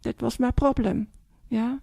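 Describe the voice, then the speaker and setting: Older Austrian woman, nun giving a talk, fairly high voice, dry close sound, chatty. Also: steady tone, unhurried, creaky, warm tone, no room sound. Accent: Austrian German. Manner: chatty, tags sentences with "yeah?" and "yes?". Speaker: Buddhist nun. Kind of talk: dharma talk.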